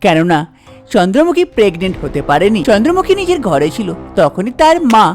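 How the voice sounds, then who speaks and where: A woman's voice: mid-range voice, big pitch swings, a little fast, a little mellow, soft background music. A woman narrating a scripted cartoon folk tale. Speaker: storyteller, narrator.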